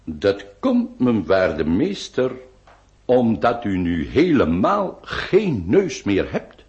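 A man's voice: on the low side, wildly swooping pitch, a little mellow, muffled recording, full character voice. A man acting in a radio play as a self-assured, vain nineteenth-century surgeon who speaks in a formal, pedantic, soothing way. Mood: lecturing. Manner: pompous, formal, deliberate emphasis. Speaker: radio drama actor. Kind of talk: theatrical Dutch-language radio play acting.

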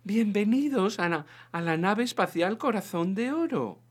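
A man voicing a calm, courteous spaceship announcer with a soothing, official flight-attendant manner in a comic audiobook. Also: high-pitched, normal speed, voice pushed up. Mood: calmly official. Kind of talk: dramatised comic science-fiction audiobook.

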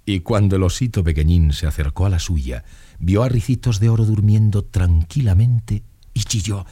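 A man's voice: lowish voice, moderate pace, mellow, slightly rough. A man narrating a children's story in a scripted storytelling style. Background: light music.

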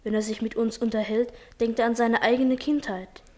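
Schoolboy dreamer played by a woman, high-pitched, earnest and self-assured, children's radio drama. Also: fairly even intonation, moderate pace, close to an everyday voice. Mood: confident, persuasive. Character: thoughtful, confident schoolboy and budding poet. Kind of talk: children's radio play acting.

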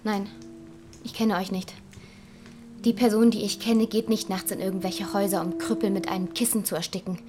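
An actress playing a shaken, accusing young foster daughter in a radio drama, pressing with questions. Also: female voice, mid-range voice, flat delivery, fairly quick, close to an everyday voice. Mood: bitter accusing.

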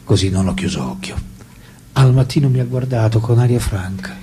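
A man in a radio drama playing a French philosopher-writer who confides his memories and love letters in an intimate, deliberate, literary way. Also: on the low side, expressive, warm tone, a little hiss or hum, lightly acted. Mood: wry, candid. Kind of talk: theatrical radio drama monologue. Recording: music behind the voice.

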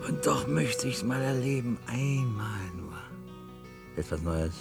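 An actor playing a wistful, resigned underground worker husband in a dystopian 1970s German radio play, musing theatrically. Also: male voice, medium pitch, lively intonation, takes it slowly, creaky, over music.

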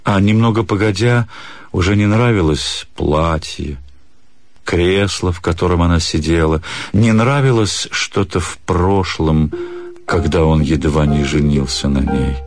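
A man narrating a literary story for radio in a measured, pensive manner. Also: deep voice.

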